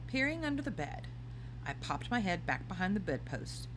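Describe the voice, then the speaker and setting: A woman reading a children's storybook aloud in an expressive narrating style. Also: on the low side, moderate pace, slight background noise, rough recording. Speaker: storybook reader.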